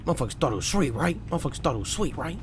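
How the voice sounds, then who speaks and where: A man's voice: a little high, sing-song, rapid-fire. A young man improvising street-gang roleplay in a video game, brash and slangy, swearing and repeating himself urgently. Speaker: streamer playing a gangster roleplay game.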